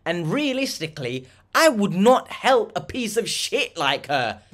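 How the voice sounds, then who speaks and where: A man's voice: high-pitched, big pitch swings, unhurried, a bit bright. A young man giving blunt, exasperated reaction commentary with plenty of swearing. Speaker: reaction video host.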